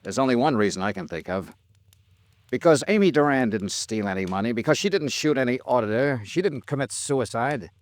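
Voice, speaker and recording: A hard-boiled American insurance investigator, played by a male actor in an old radio detective drama. He is terse and dogged, with a lively, swinging pitch range and a dry, close sound. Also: fairly quick, dry recording, lightly acted. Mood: coolly pressing. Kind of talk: 1950s radio detective drama acting, with first-person narration.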